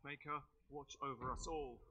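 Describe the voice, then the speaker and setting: A man's voice: mid-range voice, steady tone, moderate pace, crowd noise, natural voice. A man playing a loyal, dutiful castle knight in a fantasy video game. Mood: solemn. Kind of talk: dramatic fantasy game acting. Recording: game dialogue with clear background noise.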